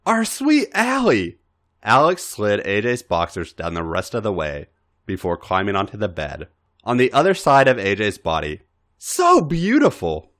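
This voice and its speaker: A man's voice: lowish voice, lively intonation, normal speed, fairly bright tone. A man reading adult fiction aloud as a dramatic narrator, acting out the dialogue theatrically. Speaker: podcast reader.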